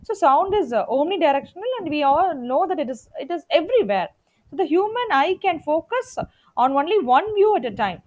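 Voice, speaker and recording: A woman lecturer with a high voice that moves up and down with lively intonation. She explains step by step in a teaching style, stressing and repeating the important points. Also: brisk, highs cut off. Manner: emphatic, repeats key points for students. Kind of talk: explanatory lecture on media production.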